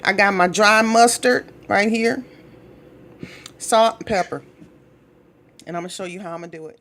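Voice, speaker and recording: Middle-aged woman from Minnesota, folksy home-cook vlogger chatting to viewers in her kitchen, mid pitch. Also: moderate pace, a bit bright, slight background noise. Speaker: cooking vlogger. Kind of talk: casual cooking demonstration.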